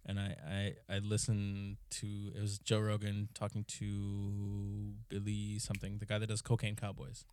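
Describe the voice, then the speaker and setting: A man's voice: lowish voice, monotone, unhurried, a little mellow, smooth. A man co-hosting a podcast, chatting casually with friends, laughing often and rambling with a lot of swearing. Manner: laughing, profane, rambling storyteller. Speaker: podcast co-host. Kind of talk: casual group chat.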